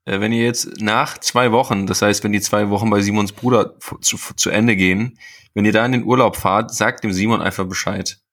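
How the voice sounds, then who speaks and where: A man's voice: fairly low pitch, fairly even intonation, fairly quick, fairly bright tone. A man co-hosting a podcast in casual, joking banter, often repeating words as he finds his phrasing. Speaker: podcast co-host.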